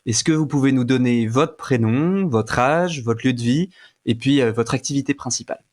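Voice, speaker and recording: A man's voice: medium pitch, moderate pace, a little mellow. Polite man welcoming podcast guests.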